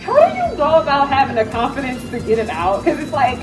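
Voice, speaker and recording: A woman's voice: high-pitched, wildly swooping pitch, brisk, music in the background. A woman chatting casually and hesitantly from the audience, saying "like" often. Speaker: audience member, comic creator. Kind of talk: casual chat, sharing a worry. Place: convention panel room. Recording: distant mic, clear room noise.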